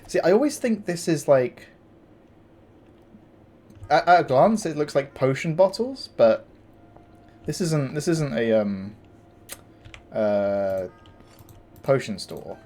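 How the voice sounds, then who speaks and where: A man with a gravelly morning voice, casually commenting on his game as he plays, thinking aloud with fillers and restarts. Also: on the high side, animated, slow, long pauses, darkish tone. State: tired morning voice. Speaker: gameplay commentator. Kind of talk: casual let's-play commentary.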